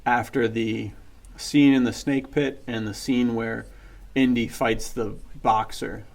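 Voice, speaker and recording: Enthusiastic man with a creaky voice. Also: mid-range voice, fairly even intonation, slow pace, a little mellow. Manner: enthusiastic, slangy, informal. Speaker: podcast co-host. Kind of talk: casual book chat.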